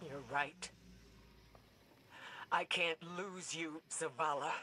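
An actress playing an earnest, cautious, protective female leader in a video game, speaking in a measured way. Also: sits low, steady tone, sharp tone, slight background noise, heavily acted. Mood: pained. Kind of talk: dramatic video game acting.

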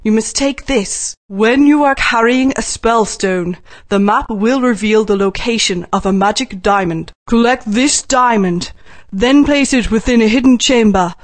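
A woman's voice: a little high, unhurried, no room sound, lightly acted. A woman voicing a worried mother dinosaur, dry and close. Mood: pleading. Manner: pleading and anxious. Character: worried mother sea dinosaur guarding eggs.

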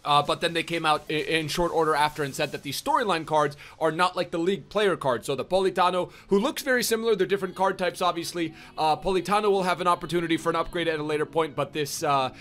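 A young man giving chatty, upbeat commentary on a video game card, his voice a little rough from streaming late, with plenty of fillers, in a small room at a desk mic. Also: on the high side, quick pace, few pauses, a bit bright. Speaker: gaming YouTuber. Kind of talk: casual game review commentary. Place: small indoor room, gaming setup.

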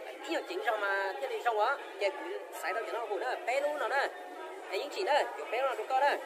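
A man's voice: extremely high pitch, fast talker, a loud crowd. A man in his thirties vlogging while shopping in a clothing store, chatting casually with many fillers.

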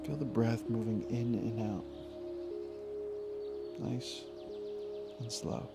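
Male meditation guide, informal and soothing, very slow delivery with long pauses, clear music bed. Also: medium pitch. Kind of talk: guided meditation, yoga nidra body scan.

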